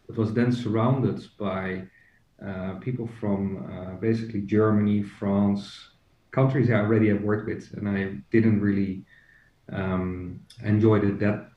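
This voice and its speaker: A middle-aged man, an HR executive and company co-founder, talking as a podcast guest, hesitant with many fillers and restarts. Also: fairly low pitch. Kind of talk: casual interview. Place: joining remotely from home. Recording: roomy, distant, dull remote audio.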